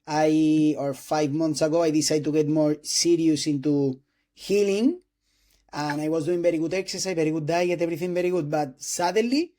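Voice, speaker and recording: A man in his twenties from Spain, speaking English with a Spanish accent as a non-native speaker, hosting an interview with halting restarts and frequent throat clearing. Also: on the high side, dark tone. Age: 20s. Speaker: host interviewing a doctor. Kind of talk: casual interview talk.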